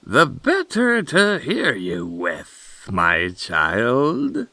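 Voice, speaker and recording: A hungry storybook wolf pretending to be a sweet old granny, performed by a man. The voice is raised, very slow and cloying, with menace underneath. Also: mid-range voice, huge pitch swings, creaky, bright, forceful tone, no echo, voice pushed up, full character voice. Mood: menacingly sweet. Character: hungry wolf posing as a doting old grandmother. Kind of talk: storybook character voice.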